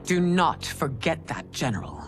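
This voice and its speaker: A woman's voice: deep voice, sing-song, unhurried. An actress playing a stern, regal empress and demanding mother in a video game, speaking in a formal, imperious way. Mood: commanding. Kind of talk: dramatic video game acting. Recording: music behind the voice.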